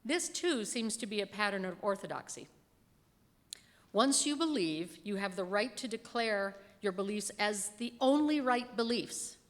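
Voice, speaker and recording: A middle-aged woman reads a written sermon aloud from a lectern, speaking into a pulpit microphone in a church hall. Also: mid-range voice. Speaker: lay reader delivering a minister's sermon. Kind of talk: sermon read from a script at a lectern. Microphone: gooseneck lectern microphone. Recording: echoey hall with long reverb.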